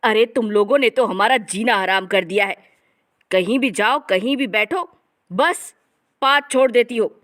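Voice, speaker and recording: A woman playing an angry elderly father-in-law, a stern household head scolding loudly in a family comedy story. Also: a little high, a little slow. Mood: furious. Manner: scolding, loud. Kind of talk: acted dialogue in a Hindi family comedy story.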